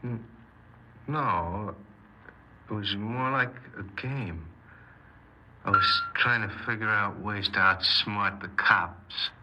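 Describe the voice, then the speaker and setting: Low-voiced sullen young man. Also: takes it slowly, long pauses, muffled recording. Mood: hesitant, subdued. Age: young adult. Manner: hesitant, offhand. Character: sullen, aimless young man confessing his crimes. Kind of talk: 1950s radio drama acting. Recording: noisy old 1950s radio recording.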